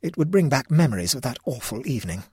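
A man's voice: medium pitch, big pitch swings, quick pace, a little mellow, slightly rough, no room sound, studio quality, pitched above the performer's own voice. A man voicing a nervous, prim young niece. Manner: anxious and prim.

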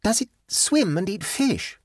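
Man putting on an anxious, very high-pitched young lady. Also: big pitch swings, measured pace, breathy edge, no room sound, studio quality, heavily acted. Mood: nervous. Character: anxious young English woman, dependent niece, easily horrified.

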